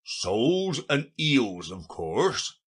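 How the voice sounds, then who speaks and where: A man voicing a solemn, impatient male gryphon, speaking slowly in a deep, husky voice. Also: lively intonation, a bit bright, full character voice. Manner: slow and solemn, turning impatient.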